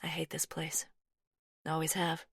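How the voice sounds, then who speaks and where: Narrator voicing a soft-spoken, anxious young American woman; low voice, dry read. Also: monotone, measured pace, gravelly, breathy edge, dry recording, full character voice. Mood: afraid. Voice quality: soft, firm.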